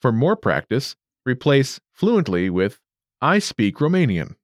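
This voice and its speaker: A man narrating a scripted language lesson, giving clear, measured instructions. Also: mid-range voice, sing-song, no room sound, clean studio sound. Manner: clear, measured teacher delivery.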